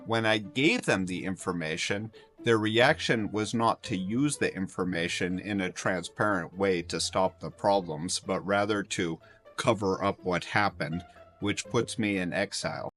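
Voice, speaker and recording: A man's voice: deep voice, unhurried, fairly bright tone. A middle-aged American man from Chicago, a former banker, explaining his story earnestly in an interview. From Chicago, USA. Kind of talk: interview and walking tour. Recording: music playing under the voice.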